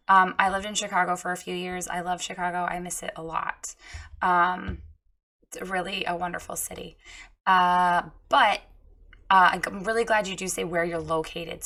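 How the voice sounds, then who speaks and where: A woman with a hoarse, froggy voice giving a frank, chatty critique of a website, with many ums and restarts. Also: medium pitch, pushed and bright. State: hoarse, recovering from lost voice. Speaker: website reviewer giving feedback. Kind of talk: casual spoken critique.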